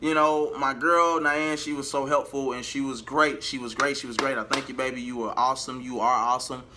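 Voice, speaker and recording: A man's voice: medium pitch, steady tone, fairly quick, noisy background. A man telling a personal story to his viewers in a casual, emphatic way, repeating phrases for emphasis. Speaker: vlogger and R&B singer.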